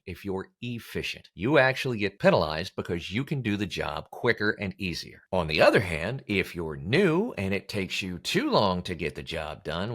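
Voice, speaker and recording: Male coach, lowish voice with very lively intonation, emphatic scripted instructional delivery in plain, punchy language. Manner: emphatic, blunt, colloquial. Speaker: voice-over coach hosting an advice show. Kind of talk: scripted instructional talk to camera.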